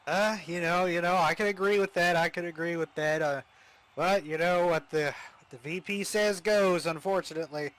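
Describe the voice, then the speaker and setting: A man's voice: high voice, moderate pace, fairly bright tone, clear, resonant tone, low-quality recording. A man commentating on a wrestling show in a casual, hesitant way, often restarting his words. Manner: hesitant, many fillers and restarts.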